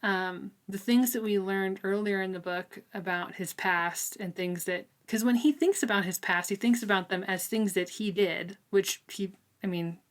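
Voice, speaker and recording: A woman co-hosting a relaxed, conversational book discussion. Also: mid-range voice, normal speed, hardly any pauses. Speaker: book podcast co-host.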